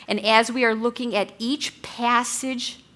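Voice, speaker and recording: A woman leading a women's Bible study lesson, talking loudly and in an earnest, encouraging way. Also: on the high side, fairly even intonation, a little slow. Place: meeting room with a blower.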